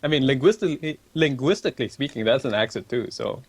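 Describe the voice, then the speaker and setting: A man's voice: medium pitch, animated, fast talker, husky, dry recording. A young man from Malaysia hosting a casual livestream, chatty and rambling as he reads viewer questions aloud at a desk microphone. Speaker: livestream host. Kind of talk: casual stream chat, answering viewer questions.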